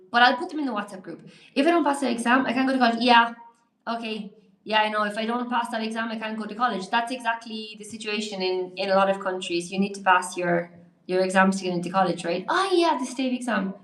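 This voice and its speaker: Chatty woman teaching English live, echoey room. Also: fairly high pitch. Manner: chatty, encouraging, reacts to chat while typing. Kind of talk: live-stream lesson reading viewer comments.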